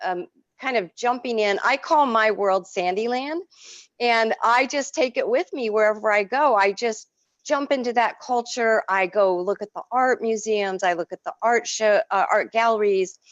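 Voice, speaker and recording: A woman whose first language is French, an artist telling stories in an effusive, expressive way as an interview guest. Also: a little high, moderate pace, dry recording, lo-fi sound. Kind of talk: casual interview.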